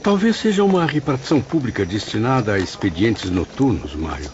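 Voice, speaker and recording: A man's voice: fairly low pitch, very animated, fairly quick. A man playing a courteous, inquisitive spirit apprentice in a Brazilian audio drama, formal and respectful, with a Brazilian accent. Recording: muffled, faint noise.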